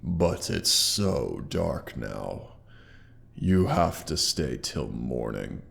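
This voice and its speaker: A man voicing a proud, mighty dragon with a gravelly, pompous, condescending delivery and an effect on the voice, in a scripted roleplay audio. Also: rumbling low, big pitch swings, fairly bright tone, heavily acted. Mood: coaxing. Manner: pompous, condescending, deliberate theatrical phrasing. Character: proud, mighty male dragon, haughty yet grudgingly grateful. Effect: sound effects processing on the voice.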